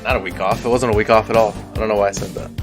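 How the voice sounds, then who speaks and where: Low-ish guy hosting a podcast, kinda stuffy nose, joking around, a little music in back. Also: very fast. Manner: relaxed joking banter with swearing. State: congested from allergies. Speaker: podcast host. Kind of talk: casual chat.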